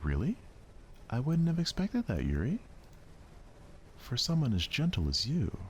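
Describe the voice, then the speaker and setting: A man's voice: mid-range voice, wildly swooping pitch, unhurried, a little hiss or hum, voice pushed up. A man voicing a sweet, affectionate, cheerful girl with a playful, coaxing manner. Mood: playful teasing.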